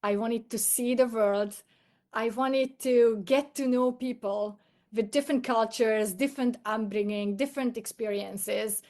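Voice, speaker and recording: Higher-pitched woman with a Hungarian accent. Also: steady tone, unhurried, darkish tone. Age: middle-aged. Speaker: podcast guest, coach. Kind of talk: personal storytelling in an interview.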